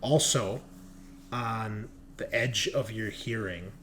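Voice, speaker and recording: A man improvising narration as game master of a horror tabletop game, halting with drawn-out pauses as he describes scenes. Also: fairly low pitch, fairly bright tone, a little hiss or hum.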